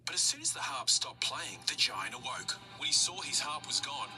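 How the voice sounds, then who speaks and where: A woman storyteller reading a children's story aloud with expressive narration. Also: rumbling low, moderate pace, over music.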